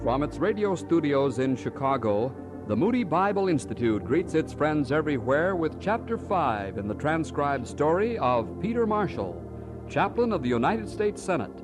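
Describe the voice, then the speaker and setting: A man's voice: on the high side, very animated, normal speed. A man making a formal, scripted old-time radio programme announcement. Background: organ music.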